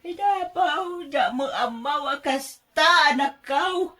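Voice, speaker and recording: A woman with an Ilocano accent playing an excitable, fretful middle-aged mother in a radio drama, expressive and exclamatory. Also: sits high, takes it slowly, full character voice. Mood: regretful, complaining. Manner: expressive, exclamatory, laughs easily.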